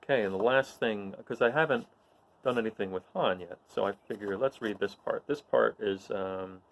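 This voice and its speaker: A man's voice: lowish voice, normal speed. A man casually introducing dramatic readings as the host of a read-aloud.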